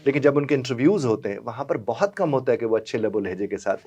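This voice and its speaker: A middle-aged man, a guest explaining communication and in a formal, courteous, measured way. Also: medium pitch, lively intonation, a little mellow, slightly rough. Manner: formal and courteous, using polite honorifics. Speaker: guest, language and communication trainer.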